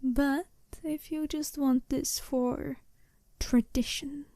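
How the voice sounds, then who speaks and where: A woman voicing a seductive, self-assured werewolf bride in an ASMR roleplay, soft-spoken and teasing, often slipping into a breathy whisper. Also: sits high, steady tone, dry recording. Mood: alluring, challenging. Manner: slow, teasing, deliberate, intimate.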